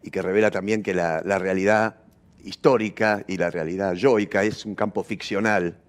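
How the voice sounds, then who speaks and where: Brief: Argentine man, deep voice, reflective interview guest who builds long, abstract thoughts deliberately. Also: steady tone. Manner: deliberate, builds long philosophical phrases with repeats. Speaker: theatre practitioner interviewed as guest.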